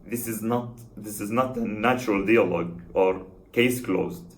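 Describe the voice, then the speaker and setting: A man's voice: fairly low pitch, normal speed, slight background noise. Earnest man giving analytical commentary. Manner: earnest, analytical, with occasional repeated words. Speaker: channel host reviewing an app. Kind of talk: spoken commentary and critique.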